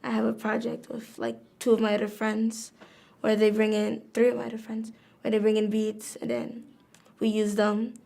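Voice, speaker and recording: A woman's voice: on the high side, completely flat delivery, normal speed, vocal fry, warm tone. A young woman, a student, chatting about a hobby and acting out what she and her friends said. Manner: chatty, acts out quotes. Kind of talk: casual interview answer.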